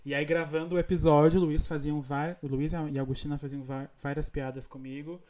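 A man's voice: on the high side, level tone, fairly quick, a loud crowd. A Brazilian man hosting a podcast in casual chat, talkative, with frequent restarts and laughs. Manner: chatty, laughs often, many restarts. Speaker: podcast host.

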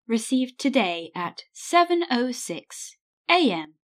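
A woman voicing an automated answering machine, announcing in a flat, formal, clipped manner. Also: fairly high pitch, slow pace, pitched above the performer's own voice. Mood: impersonal.

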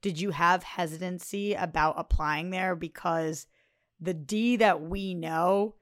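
A woman's voice: fairly low pitch, steady tone, slow, no echo, studio quality. A woman co-hosting a casual podcast, who teases and asks pointed questions. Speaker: podcast co-host.

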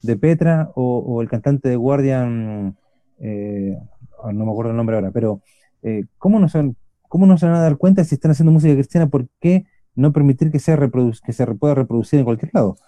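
A middle-aged man with an Argentine accent hosts a casual radio chat. He has a very dark, mellow voice and keeps circling back with fillers and restarts. The recording is dry and close. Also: medium pitch, animated, brisk, dry recording. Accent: Argentine Spanish. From Argentina, Buenos Aires. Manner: rambling, many restarts and fillers. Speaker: radio show host.

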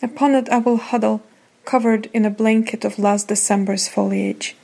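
A woman reciting a poem deliberately, in short separated phrases. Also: medium pitch, steady tone, vocal fry, mellow. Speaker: poetry reader.

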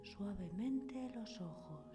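Whispering woman, very unhurried meditation guide. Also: mid-range voice, lively intonation. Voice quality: breathy whisper. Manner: soothing, unhurried pauses between short phrases. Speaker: meditation podcast host. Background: soft meditation music with singing bowls.

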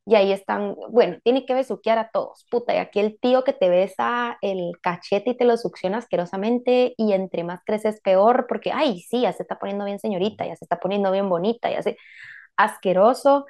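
A woman's voice: medium pitch, fairly quick, a little mellow. A woman with a Guatemalan Spanish accent, hosting a casual group discussion and speaking frankly and bluntly.

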